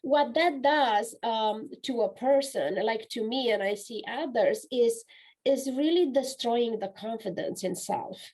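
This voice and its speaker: A woman's voice: a little high, unhurried, darkish tone, low-quality recording. A woman in her forties with a Romanian accent, a non-native English speaker, talking earnestly and reflectively in a conversational interview, often restarting her sentences. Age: 40s. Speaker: guest speaker and coach.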